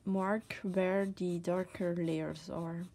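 A woman with a Dutch accent, a native Dutch speaker, giving a casual drawing tutorial as she works, soft-spoken and hesitant, searching for words between many fillers. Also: fairly low pitch, fairly even intonation, very slow, gravelly, a little hiss or hum. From Netherlands. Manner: soft-spoken, often drops to a whisper. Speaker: art tutor. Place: desk, indoors.

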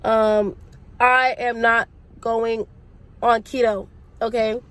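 A woman's voice: a little high, level tone, slow pace, a bit bright. A young woman talks into a phone held at arm's length inside her parked car. Her voice is congested from a cold. She rambles in a chatty, casual way, full of slang. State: sick, congested voice. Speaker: vlogger. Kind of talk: casual chat to camera. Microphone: phone camera at arm's length.

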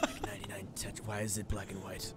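A man voicing a sardonic hedgehog antihero in an improvised comedy game dub, dry remarks breaking into excited outbursts. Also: lowish voice, quick pace, over loud music. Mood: wry, deadpan.

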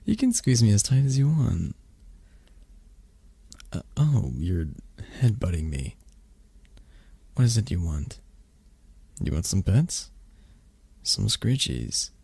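A man playing a teasing, affectionate young dragon boyfriend in an intimate ASMR roleplay, speaking softly and haltingly with breathy cooing. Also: on the low side, very animated, no echo, heavily acted. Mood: affectionate, playful.

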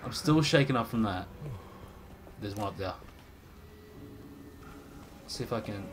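A young man streaming a horror game, chatting casually and reacting in a jumpy, excitable way, muttering to himself. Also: fairly low pitch, unhurried, very noisy. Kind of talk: casual gameplay commentary. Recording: game music playing behind voice.

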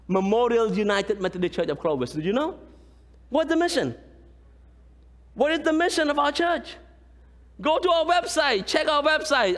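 A man in his thirties, a pastor from Cambodia with a Khmer accent, preaching a lively sermon and often asking the congregation "right?". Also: extremely high pitch. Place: large church sanctuary, indoors. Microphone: far camera, room pickup. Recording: long church hall reverb.

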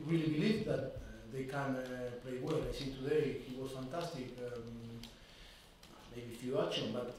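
Halting football manager, echoey room. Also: male voice, medium pitch, darkish tone, a little hiss or hum. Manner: measured, halting second-language English with many fillers. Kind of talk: post-match press conference. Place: press conference room. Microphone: desk microphone. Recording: echoey room, mic at a distance.

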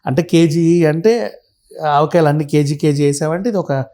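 A man's voice: fairly high pitch, normal speed, dark tone. A man giving a blunt, conversational explanation as a commentator, often checking that the listener follows. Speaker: commentator guest. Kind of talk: animated conversational explanation with acted quotes.

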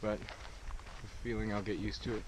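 A man's voice: lowish voice, little pitch movement, moderate pace. A young man vlogging on a hiking trail, easygoing and joking at himself, into a camera held at arm's length. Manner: easygoing, self-deprecating, laughs at himself. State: winded on climbs. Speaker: thru-hiker vlogging. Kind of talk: casual vlog to camera. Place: outdoors on a mountain trail. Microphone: action camera held at arm's length. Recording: outdoor camera mic with background noise.

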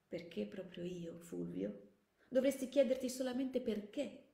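A woman voicing a gentle, resigned schoolteacher in a story, tender and sorrowful. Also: fairly high pitch, expressive, takes it slowly, gravelly, voice pushed up, heavily acted. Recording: echoey room.

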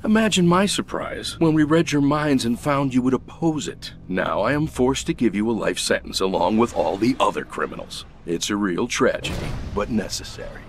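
An actor playing a smug, self-righteous superhero in a video game, with a measured, condescending manner. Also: male voice, mid-range voice, animated, close to an everyday voice. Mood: smugly regretful. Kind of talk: video game cutscene acting. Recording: noisy game battle effects behind.

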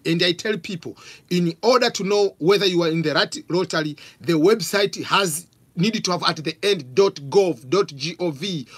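A man's voice: on the high side, slightly rough. A man in his forties from Tanzania with a Tanzanian accent, hosting a live question-and-answer stream from a home office on a studio mic, reading out viewer questions. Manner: animated, gestures a lot, reads out questions. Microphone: studio mic on stand with pop filter.

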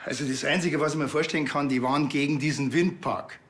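A man as a dry Bavarian cop, highish voice. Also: fairly even intonation, fast talker, a bit bright, lightly acted. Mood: matter-of-fact. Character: dry, blunt rural Bavarian policeman. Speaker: actor in a TV crime comedy.

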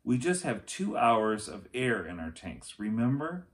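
A man's voice: medium pitch, very animated, unhurried. A man voicing a cautious, earnest young boy in a children's storybook, sounding careful and a little fretful. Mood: cautious, urgent.

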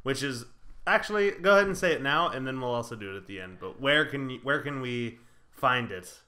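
A man's voice: mid-range voice, lively intonation, fairly quick, pushed and bright. A man co-hosting a podcast with casual banter. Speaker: podcast co-host.

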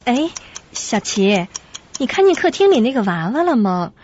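A woman playing a quiet, anxious, reserved young secretary in a radio drama, speaking hesitantly. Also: a little high, sing-song, normal speed, a little hiss or hum. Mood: uneasy. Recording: dull lo-fi audio.

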